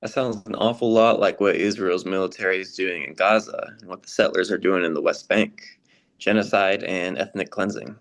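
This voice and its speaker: A man performs a concerned, sympathetic American in a scripted readers theater dialogue. His voice is somewhat low. He talks in a measured, deliberate way. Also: fairly even intonation. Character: concerned, sympathetic American churchman asking questions.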